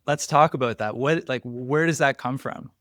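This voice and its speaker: A man's voice: mid-range voice, normal speed, a bit bright, dry recording, studio quality. A man hosting an interview show, chatty and upbeat as he talks with his guest. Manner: upbeat, conversational. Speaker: podcast host. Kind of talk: casual interview talk.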